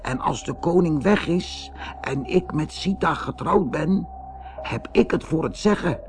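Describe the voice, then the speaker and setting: A man acts out a sly villain plotting to take over a jungle kingdom. His voice rises high above a narrator's register, conspiratorial and commanding, as told in a children's storybook. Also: animated, measured pace, music in the background. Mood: scheming.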